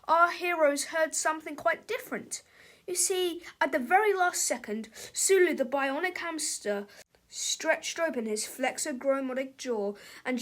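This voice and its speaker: A woman's voice: sits high, a bit bright. A woman reading a children's storybook aloud as narrator, in a dramatic, theatrical manner.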